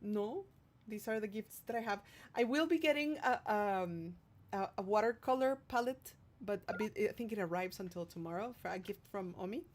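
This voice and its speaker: A woman's voice: medium pitch, normal speed. Female livestream host, mid-range voice, casual and affectionate, playful while reading and answering viewer messages. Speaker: streamer hosting a livestream, singer-songwriter.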